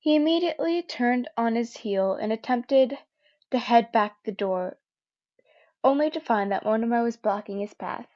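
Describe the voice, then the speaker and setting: A woman's voice: on the high side, normal speed, a little mellow, highs cut off. Chatty young woman reading fan fiction. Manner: chatty, with many fillers and self-corrections. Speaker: podfic reader.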